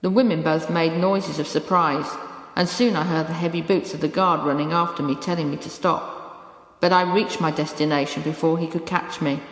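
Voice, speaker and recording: A woman's voice: low-pitched, steady tone, moderate pace, lightly acted. A British woman voicing a loving, clever rescue dog that tells its own story in a bedtime storybook style. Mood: urgent, determined. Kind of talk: first-person storybook narration. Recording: echoey room with long reverb.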